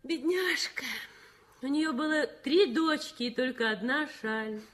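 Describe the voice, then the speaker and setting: An actress playing an earnest young nurse in a 1960s radio play, reassuring in a warm, gently insistent way. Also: female voice, high-pitched, expressive, measured pace. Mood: tender. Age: young adult.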